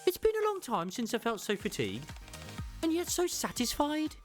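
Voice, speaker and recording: A woman's voice: fairly high pitch, wildly swooping pitch, moderate pace, over music. A woman voicing a tough, earnest tomboy girl soldier in a fan dub of a game story. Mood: tired, warm.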